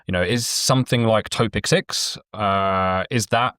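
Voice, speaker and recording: A man's voice: lowish voice, measured pace, a bit bright, clear, resonant tone, no echo. A man hosting a podcast interview, asking questions in an easygoing way. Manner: easygoing, asks questions with fillers. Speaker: podcast host.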